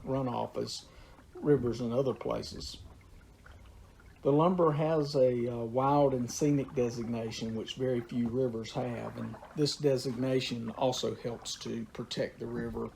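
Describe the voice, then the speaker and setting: Unhurried man, gravelly low voice. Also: dark tone, slight background noise, poor audio. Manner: unhurried, with frequent "uh" pauses. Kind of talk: informal talk on local history and nature.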